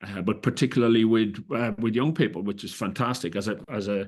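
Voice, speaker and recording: A man's voice: lowish voice, fairly quick. A middle-aged Irish man, a festival director, answering interview questions in a hesitant way, with many fillers and restarts. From Ireland.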